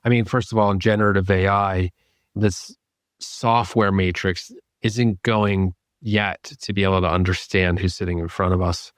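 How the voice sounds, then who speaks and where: Male interview guest, lower register, reflective and deliberate, recorded dry. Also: steady tone, no room sound. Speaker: podcast guest, psychedelic therapy clinician.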